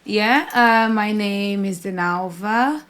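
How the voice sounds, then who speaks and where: A woman's voice: medium pitch, slow pace, over a noisy crowd. A woman in her late twenties with a Portuguese-influenced British accent, chatting expressively as a podcast guest. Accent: Portuguese-influenced British English. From Portugal, Angolan parents. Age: late 20s. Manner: expressive, rhythmic delivery, laughs easily. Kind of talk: casual chat.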